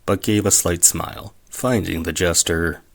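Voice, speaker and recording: A man acting as a calm storyteller, reading scripted third-person narration for an audio drama. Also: sits low, a little slow, gravelly.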